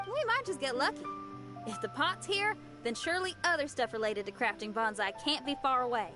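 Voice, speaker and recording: An actress playing a curious young companion character in a video game. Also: female voice, sits high, expressive, fairly quick, pushed and bright. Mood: hopeful. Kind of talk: animated video game dialogue. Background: game music.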